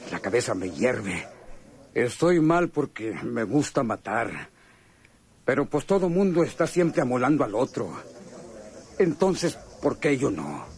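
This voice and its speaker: An actor playing a brooding, unhinged killer in prison, with a rural Mexican accent, in a radionovela. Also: male voice, medium pitch, a little mellow. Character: brooding, unhinged male convict with a killer's urge. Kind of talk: radionovela acting.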